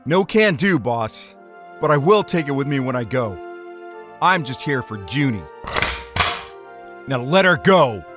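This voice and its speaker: A man's voice: medium pitch, expressive, measured pace, pauses often, music in the background, lightly acted. A man playing a tough, wisecracking gangster in a 1940s noir radio drama, with slangy hard-boiled delivery. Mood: defiant. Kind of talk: noir detective radio drama acting and narration.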